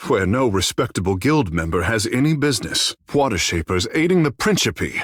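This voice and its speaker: Flamboyant husky young demigod, swooping intonation. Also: male voice, lowish voice, sing-song, normal speed, fairly bright tone, no room sound, clean studio sound. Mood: grave, earnest. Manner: theatrical, lofty formal phrasing. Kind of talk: scripted fantasy video game dialogue.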